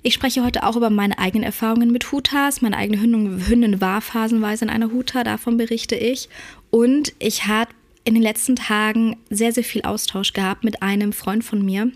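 A woman hosts her podcast in a voice roughened and hoarse from a cold. She talks earnestly and a little haltingly, with fillers and self-corrections, speaking close into her microphone. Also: fairly high pitch, steady tone. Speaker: podcast host and dog trainer. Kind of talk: casual podcast monologue.

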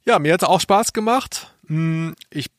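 A man's voice: fairly high pitch, measured pace, no room sound, clean studio sound. A man co-hosting a podcast in casual chat, thinking aloud in a hesitant, self-deprecating way. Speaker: podcast co-host, quiz contestant.

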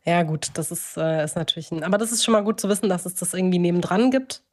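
A woman's voice: on the low side, fast talker, darkish tone. A woman co-hosting a podcast in an informal, conversational chat. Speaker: podcast co-host. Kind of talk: casual chat.

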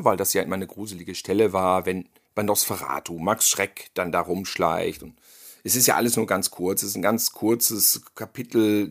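A male podcast co-host talking through a horror film with a lively, animated voice that swings up and down. His voice sits at an ordinary pitch. He turns to address the listeners directly as he chats. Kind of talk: casual film review chat.

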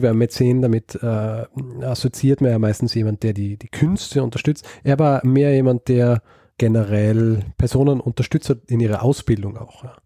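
A man's voice: medium pitch, dark tone, dry recording, studio quality. A man telling a story in casual chat, hesitant and full of ums and restarts. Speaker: podcast host telling a story.

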